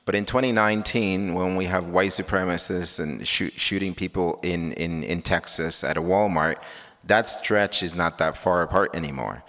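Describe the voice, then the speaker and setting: A man's voice: deep voice, moderate pace, a bit bright. A man, presenting his artwork and speaking earnestly with many restarts. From Cuba. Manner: earnest, with frequent restarts and fillers. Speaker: artist giving a talk. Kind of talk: presentation of his work with slides. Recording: long hall reverb.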